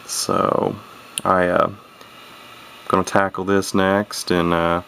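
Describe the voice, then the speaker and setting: A man casually talking through a radio repair at his workbench, rambling and halting, with many restarts and folksy turns of phrase. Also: low-pitched, flat delivery, gravelly, a bit bright, slight background noise. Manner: plain-spoken folksy grammar. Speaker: radio hobbyist showing a repair. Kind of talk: casual off-the-cuff repair commentary.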